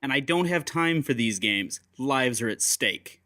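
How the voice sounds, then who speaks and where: Steampunk audiobook secret agent, played by a man: menacing gentleman, deliberate, courteous, ruthless, very dry recording. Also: mid-range voice, animated, no room sound. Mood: urgent fury. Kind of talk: dramatised audiobook acting.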